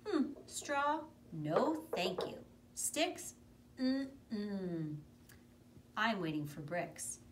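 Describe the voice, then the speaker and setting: A woman voicing a small, proud little pig in a children's story, high and cheerful but stubborn. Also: lively intonation, very slow. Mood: chirpy. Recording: distant mic with room noise.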